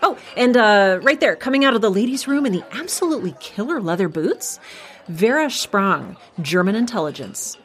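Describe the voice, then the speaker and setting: An actress playing a cocky, teasing young trainee spy in an audio drama, with a playful manner. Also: female voice, medium pitch, sing-song, normal speed, close to an everyday voice. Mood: amused, knowing.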